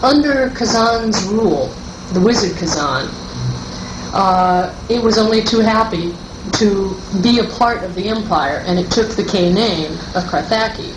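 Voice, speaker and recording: Slow-spoken woman on a noisy old cassette. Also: mid-range voice. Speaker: game world designer. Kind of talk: group interview discussion. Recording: noisy roomy 1982 cassette tape.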